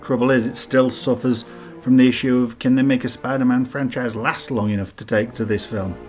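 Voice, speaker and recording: A man's voice: medium pitch, steady tone, a little fast, a little mellow. Man narrating over music. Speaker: host of a top ten list video. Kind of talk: scripted video narration, informal commentary. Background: background music.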